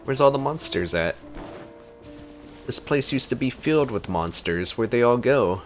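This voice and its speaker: A man's voice: medium pitch, expressive, moderate pace, faint music behind. A man giving casual, blunt gameplay reaction commentary as a streamer, partly muttering to himself. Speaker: gaming streamer.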